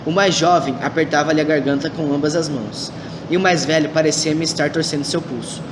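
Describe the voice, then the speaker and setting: A man's voice: on the high side, fairly quick, natural voice. A man narrating a radio drama as the detective's companion, reading earnestly and steadily. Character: male companion narrating the case. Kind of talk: scripted storytelling narration. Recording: distant mic with loud noise.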